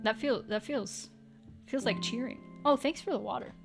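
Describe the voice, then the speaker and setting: A woman's voice: a little high, normal speed, vocal fry, music in the background. A woman livestreaming, chatting casually with viewers in a playful, teasing way and swearing now and then.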